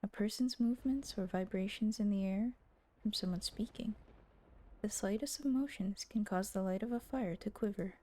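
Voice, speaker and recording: A woman's voice: medium pitch, moderate pace, mellow. A woman livestreaming a visual novel, reading the story aloud and reacting with playful asides. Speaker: streamer voicing a visual novel. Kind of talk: livestream voice-through with casual reactions and dramatic reading.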